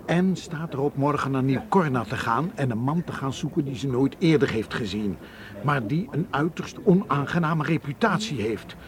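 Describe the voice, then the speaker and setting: A man's voice: mid-range voice, lightly acted. An actor in a radio play playing a worried, cautious man who argues earnestly and insistently. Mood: earnest, persuasive. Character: worried, cautious male friend urging sense. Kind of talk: radio drama acting. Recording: radio play with crowd murmur behind.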